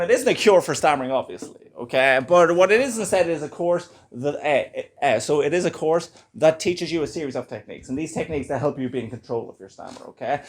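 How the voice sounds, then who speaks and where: A young Irish man with a stammer doing a stand-up comedy set in a club. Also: fairly high pitch. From Ireland. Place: comedy club before a laughing crowd.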